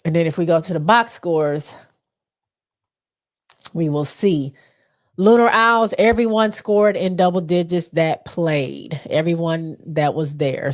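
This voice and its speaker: Stuffy American woman co-host, lower voice, reads box scores with fillers. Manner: reads stats with many fillers. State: stuffy from allergies. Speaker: podcast co-host. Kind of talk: casual sports recap.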